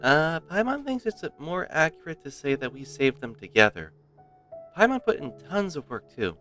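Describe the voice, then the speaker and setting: A man's voice: a little high, very animated, normal speed, sharp tone, soft background music, voice pushed up. A man voicing a small, chirpy, easily indignant fairy companion while reading game dialogue.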